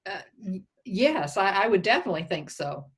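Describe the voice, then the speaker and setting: A woman's voice: medium pitch, very animated, a little fast, breathy edge. A woman speaking conversationally on a conference call as a county official, thinking aloud as she gives advice. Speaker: county official advising on expense reimbursement.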